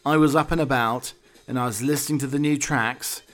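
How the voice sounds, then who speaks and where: A man's voice: mid-range voice, fairly even intonation, a little fast, a bit bright. A man hosting a comic talk on the week's news, wry and digressive, with hesitant asides. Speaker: podcast host.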